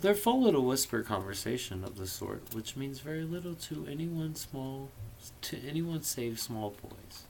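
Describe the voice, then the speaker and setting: A man's voice: mid-range voice, expressive, moderate pace, vocal fry, darkish tone, slight background noise, rough recording. A man reading a story aloud informally, with a theatrical, irreverent manner and profane casual asides. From US Midwest. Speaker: amateur audiobook reader.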